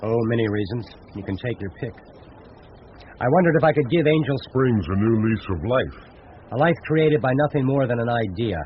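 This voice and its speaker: An actor playing a proud, calculating advertising man, speaking in measured, formal, sardonic phrases in a radio drama. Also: mid-range voice, lively intonation, natural voice. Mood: resigned, reflective. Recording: muffled, band-limited, faintly hissy radio recording.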